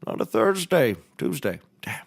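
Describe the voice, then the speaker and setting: A man's voice: a little high, sing-song, a little slow, vocal fry, breathy edge, heavily acted. An actor playing a bumbling maintenance man turned lawyer in a scripted comedy, folksy and mock-formal, wandering off the point. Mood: scatterbrained, self-assured. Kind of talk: scripted comedy courtroom drama acting.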